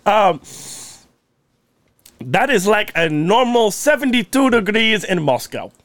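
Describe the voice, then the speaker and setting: Podcast co-host, man, high lively voice, fake Russian accent for laughs, dry close mic. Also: no echo. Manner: mock Russian accent, put on throughout; joking, hammy, deliberate phrasing. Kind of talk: casual podcast chat.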